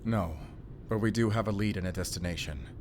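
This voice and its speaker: A stoic werewolf hunter with a fairly low, steady voice, as a man acts him out, calm and matter-of-fact with a dry wit. He sounds like he is in an audio roleplay drama, with a faint hiss under the voice. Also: a little fast, lightly acted. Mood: grave. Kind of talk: cinematic audio roleplay acting.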